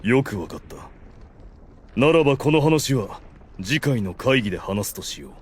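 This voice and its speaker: A male actor playing a solemn, benevolent undead ruler in an anime audio drama, speaking in grand, formal, measured phrases. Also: mid-range voice, sing-song, a little hiss or hum, close to an everyday voice. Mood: formal, resolute.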